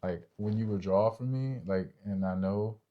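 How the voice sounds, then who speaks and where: A man's voice: on the low side, normal speed, lo-fi sound. A young man with an American accent co-hosting a relationship show in loose, informal chat, often checking for agreement. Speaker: co-host of a couples' relationship show. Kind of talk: casual chat.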